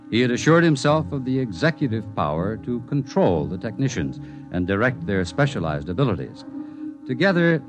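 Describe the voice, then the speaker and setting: A male narrator with a low voice delivers scripted narration in a measured, formal way. Music plays clearly behind him.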